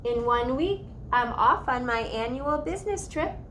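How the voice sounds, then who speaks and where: A woman's voice: high voice, expressive, a little slow, fairly bright tone, a little hiss or hum. A woman voicing an eccentric, grand and mysterious great-aunt, theatrical and coy, in a storybook reading. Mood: teasing.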